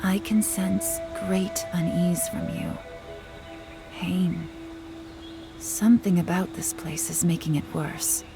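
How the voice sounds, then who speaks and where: A gentle enchantress from a video game, with a lowish voice and a frequent creak. She speaks softly and earnestly, as if soothing and counselling, over clear background music. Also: fairly even intonation, slow. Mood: concerned. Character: gentle, empathetic non-human enchantress woman haunted by regret. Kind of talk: dramatic video game acting.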